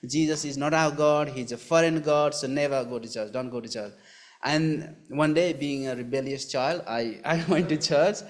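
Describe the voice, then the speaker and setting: A man from Nepal with a Nepali accent, telling his personal story in a live interview in an earnest, halting way, repeating phrases. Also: on the high side. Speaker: guest giving his testimony.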